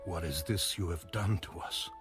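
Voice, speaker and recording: A man's voice: sits low, normal speed, fairly bright tone, faint music behind. An actor playing an indignant, commanding ancient king in a dramatized audio Bible, stern and declamatory. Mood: indignant reproach.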